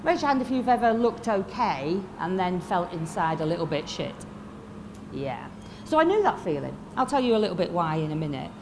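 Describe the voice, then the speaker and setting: A woman in her fifties with a Yorkshire accent, giving a blunt, lively motivational talk to a live audience. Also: lowish voice, a little fast, noisy background. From Lancashire, England. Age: 50s. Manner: lively, blunt and swearing, asks the crowd questions. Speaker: motivational speaker. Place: expo stage before a live audience.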